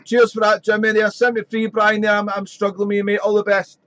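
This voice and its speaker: A man chatting on amateur radio from his radio shack, rambling and friendly, his voice slightly croaky. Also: high-pitched, completely flat delivery, quick pace, fairly bright tone, no room sound. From Wales. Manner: chatty, rambling, fills with "there". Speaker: ham radio operator. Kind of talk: casual radio chat.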